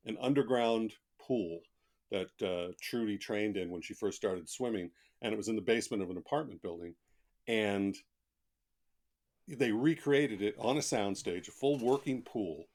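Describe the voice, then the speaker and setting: Low-voiced man telling anecdotes in an interview. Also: moderate pace, a little mellow. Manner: conversational, emphatic, colloquial. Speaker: author, interview guest. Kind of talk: casual podcast interview, anecdotal storytelling.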